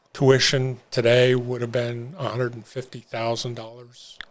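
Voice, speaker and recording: Older man, flat halting speech. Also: mid-range voice. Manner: halting, many fillers and restarts. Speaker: interview guest. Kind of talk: reflective personal story.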